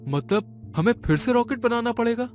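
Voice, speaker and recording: A man's voice: very high-pitched, animated, normal speed, darkish tone, faint music behind, voice pushed up. A man playing an eager, anxious male space scientist in a dramatized adventure story. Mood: worried. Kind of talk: dramatized Hindi audio story dialogue.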